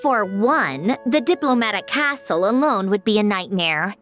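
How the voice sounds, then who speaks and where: Aloof, sardonic young woman, lively inflection. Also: medium pitch, a little slow. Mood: serious, explanatory. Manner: wry, dismissive, matter-of-fact. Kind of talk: video game voice acting. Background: music.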